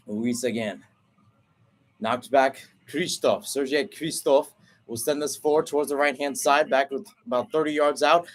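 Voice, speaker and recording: Young male soccer play-by-play caller, a bit higher-pitched, brisk and excitable, radio style. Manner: brisk excited calling with exclamations. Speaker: play-by-play commentator. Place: remote broadcast from home setup.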